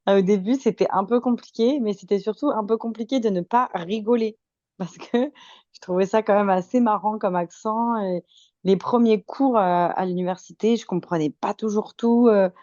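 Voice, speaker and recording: A woman's voice: medium pitch, normal speed, a little mellow, no echo, muffled recording. A woman in her twenties from France, with a French accent lightly tinged with Québécois, chatting casually and good-humoredly as a podcast guest. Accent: French from France with slight Québécois tinge. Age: 20s. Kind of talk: casual chat, telling anecdotes.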